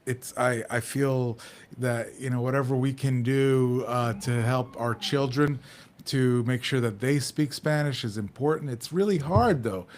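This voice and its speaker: A man's voice: mid-range voice, measured pace, a little hiss or hum. A middle-aged Mexican American man co-hosting a community talk show, speaking earnestly with many restarts and fillers. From United States. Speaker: podcast co-host.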